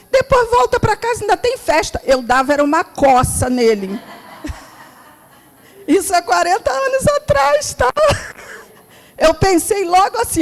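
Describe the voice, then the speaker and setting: A woman with a Rio de Janeiro accent giving an informal spiritual talk at a meeting hall, chatty and laughing easily as she asks the audience questions. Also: very high-pitched. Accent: Rio de Janeiro Portuguese. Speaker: spiritist speaker. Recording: reverberant hall.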